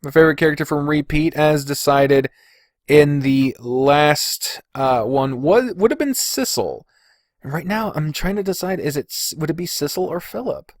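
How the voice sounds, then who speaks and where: A man's voice: on the high side, expressive, normal speed, no room sound, studio quality. A man hosting a podcast alone, talking casually and thinking aloud with informal, loose phrasing. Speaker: podcast host. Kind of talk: casual solo ranking commentary.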